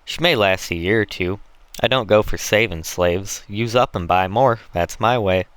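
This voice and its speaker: A man's voice: lowish voice, moderate pace, a bit bright, clean tone, no room sound, natural voice. A man voicing a coarse, boastful Southern slave owner in an audiobook, with blunt, folksy dialect.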